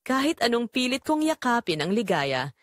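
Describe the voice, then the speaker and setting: Remorseful secretary-mistress played by a woman, first-person romantic audio drama, animated intonation, confessional storytelling, dry recording. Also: a little high, normal speed, no room sound. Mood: wistful, guilty.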